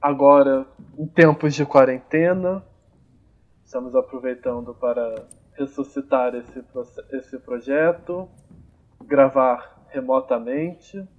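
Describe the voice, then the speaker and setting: A young man hosting a podcast in a relaxed, casual way, thinking aloud with many fillers, recorded on amateur home gear. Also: mid-range voice, takes it slowly, highs cut off. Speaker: podcast host. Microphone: amateur home recording, phone or computer.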